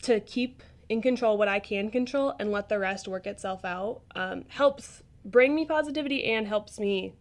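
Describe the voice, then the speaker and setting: A woman's voice: medium pitch, normal speed, few pauses. A young woman chatting casually as a podcast guest, with an earnest, encouraging way of talking. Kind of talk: casual interview chat.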